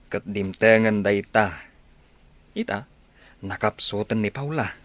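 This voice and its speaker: Low-voiced, sympathetic village husband. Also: male voice, unhurried, fairly bright tone, no echo. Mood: concerned. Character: sympathetic village husband discussing neighbours' troubles. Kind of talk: Filipino radio drama acting.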